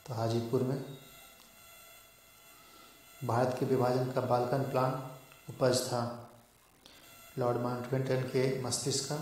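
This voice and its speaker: A man tutoring for an exam, reading quiz questions and answers aloud in a halting way. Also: medium pitch, a little mellow. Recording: very echoey room.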